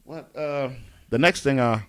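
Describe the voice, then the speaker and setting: A man's voice: mid-range voice, unhurried, a bit bright, clean tone. A man with a Kentucky accent hosting a casual interview, laid-back and full of slang as he hypes people up. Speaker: host.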